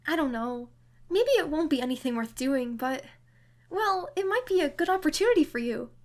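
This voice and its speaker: Woman acting a high-voiced, bookish young woman. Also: lively intonation, moderate pace. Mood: encouraging. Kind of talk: audio drama acting.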